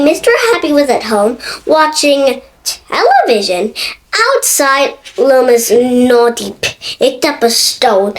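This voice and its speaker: Small girl, six, high voice, animated storybook read-aloud at an unhurried pace with little stumbles. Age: child, six years old. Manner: expressive, with stumbles and self-corrections. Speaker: young storybook reader. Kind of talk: reading a picture book aloud.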